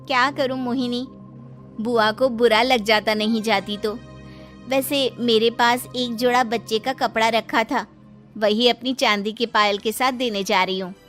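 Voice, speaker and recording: A woman's voice: on the high side, steady tone, unhurried, fairly bright tone, faint music behind. A woman playing a humble, hard-up married sister with a meek, earnest manner in a story. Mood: resigned.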